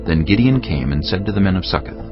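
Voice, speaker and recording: A man's voice: low-pitched, expressive, fast talker. A man narrating scripture from a script in a dramatic storytelling style. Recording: dull audio with music underneath. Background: music.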